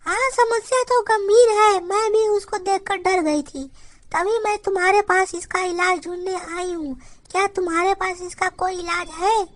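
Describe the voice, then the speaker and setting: A woman voicing a fretful but brave auntie sparrow in a children's cartoon, concerned and expressive, with music behind her. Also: very high-pitched, normal speed. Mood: earnest. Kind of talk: Hindi children's cartoon voice acting.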